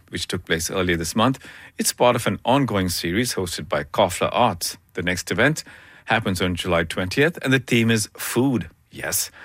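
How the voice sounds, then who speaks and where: A male radio host briskly announcing the segment. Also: on the low side, a bit bright, dry recording. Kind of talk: broadcast presenting. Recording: dry studio sound.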